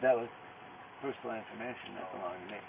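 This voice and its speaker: A man with a fairly low voice comes through thin, phone-like audio with some background noise. He is a suspect protesting to police, halting and insistent, circling back over the same phrases. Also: vocal fry. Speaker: arrested murder suspect. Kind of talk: informal back-and-forth with police during arrest. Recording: noisy, tinny police audio recording.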